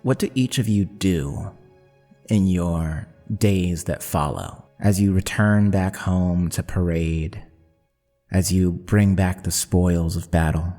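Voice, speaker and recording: A man serving as game master, narrating a tabletop story in a solemn, deliberate, dramatic way. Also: deep voice, mellow. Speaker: game master of an actual play podcast. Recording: long reverb under music.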